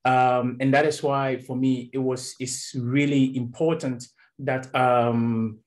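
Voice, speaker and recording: A young man from Ghana, a youth worker, giving an earnest, halting panel answer over a video call in a small room. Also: mid-range voice, level tone. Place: small indoor room with door.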